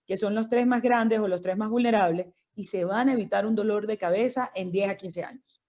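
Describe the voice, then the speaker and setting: A woman's voice: mid-range voice, fairly quick. Passionate Venezuelan woman, lo-fi sound. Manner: passionate, animated storyteller. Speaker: guest, founder of a migrant children's group. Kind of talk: interview storytelling. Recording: dull lo-fi sound.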